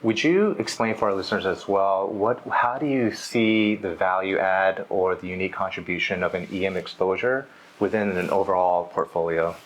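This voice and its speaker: A man's voice: sits low, normal speed, poor audio. A man hosting an interview as an investment specialist, polite and supportive, with many listening murmurs.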